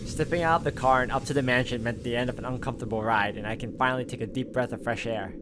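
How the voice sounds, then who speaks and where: A man reading a visual novel's text aloud with casual asides, steady and plain. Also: mid-range voice, fast talker, noisy background. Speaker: gameplay narrator. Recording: voice over loud game music.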